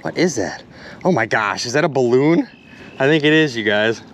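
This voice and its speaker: A young man vlogging outdoors by a river, exclaiming excitedly to his viewers as he goes, recorded on a body-mounted action camera. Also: mid-range voice, normal speed, a little hiss or hum. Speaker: magnet fishing vlogger. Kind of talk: casual excited vlog narration.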